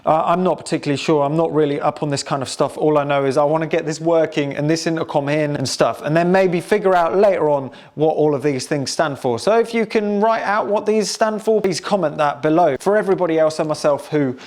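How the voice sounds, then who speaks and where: A man's voice: fairly high pitch, a little fast, few pauses, darkish tone. A British man giving a casual, enthusiastic, chatty hands-on demonstration as he presents a restoration project.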